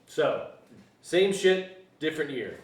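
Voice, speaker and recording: A man's voice: a little high, expressive, takes it slowly, far from the mic. A man playing the grumbling organiser of a buddies' fishing trip at a screenplay table read. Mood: matter-of-fact. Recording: echoey room, off-mic, faint hiss.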